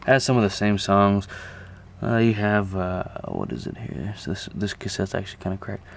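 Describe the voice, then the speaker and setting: A man giving a casual, unscripted show-and-tell to a camera, talking haltingly and thinking aloud as he goes. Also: on the low side, steady tone. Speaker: video creator showing a music collection. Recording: clear background noise, amateur camera audio.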